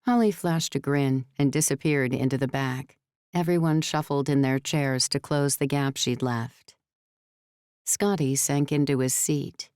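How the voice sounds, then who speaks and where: A woman's voice: a bass voice, steady tone, unhurried, darkish tone, clean tone, no room sound, clean studio sound. A woman reading scripted story narration as an audiobook narrator.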